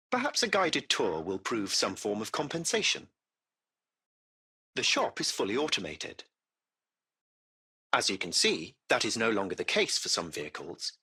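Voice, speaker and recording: Game casting: a polite AI chauffeur, formal and precise, very animated pitch, bright forceful edge. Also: male voice, gravelly, slightly rough, heavily acted. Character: courteous AI taxi-service machine, formal and butler-like. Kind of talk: scripted video game voice acting.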